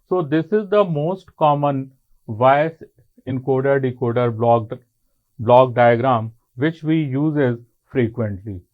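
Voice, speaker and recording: An Indian-accented male lecturer explains technical material at a slow pace. He delivers it phrase by phrase, with halting pauses. The recording is narrow and muffled, like a phone line. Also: medium pitch.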